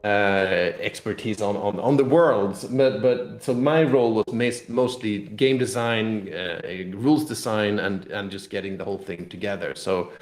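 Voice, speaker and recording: A man being interviewed as a game designer, talking casually and explaining in a rambling, halting way with repeated words. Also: on the low side. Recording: roomy, echoing room sound.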